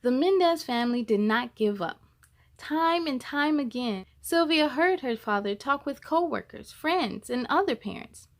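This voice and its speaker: A woman reading a children's picture book aloud in an expressive, measured storytelling style. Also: sits high.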